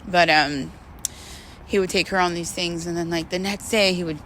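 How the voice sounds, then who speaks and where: A woman talking in a casual, confessional monologue, candid and halting, repeating words for emphasis. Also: lowish voice, fairly even intonation, a bit bright, slight background noise, rough recording. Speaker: vlogger.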